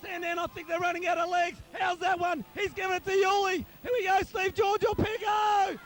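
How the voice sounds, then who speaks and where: Man, Australian accent, very high-pitched, frantic shouting, amateur football commentator, partisan, repetitive calls. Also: brisk. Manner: shouty, wildly excited, repeats names and calls. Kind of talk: excited partisan live sports commentary.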